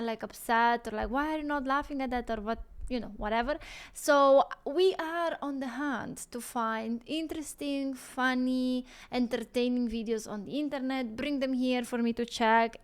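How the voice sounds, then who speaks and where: A woman's voice: on the high side, smooth. A woman from Romania with a Romanian accent, who speaks Romanian natively, chatting casually to camera as a reaction channel host and thinking aloud as she goes.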